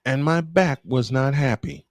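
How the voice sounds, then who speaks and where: A man's voice: mid-range voice, a little slow, dry recording. A man calling baseball play-by-play live, mixing it with casual chat in a folksy, exclamatory way.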